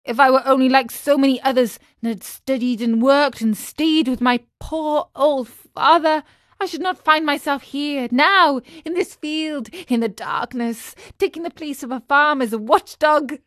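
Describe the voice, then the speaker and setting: A woman's voice: extremely high pitch, sing-song, takes it slowly, no echo, studio quality, pitched above the performer's own voice, exaggerated voice. A woman voicing a frightened, tearful young wooden puppet boy from a children's storybook, wailing and pleading. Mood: miserable regret.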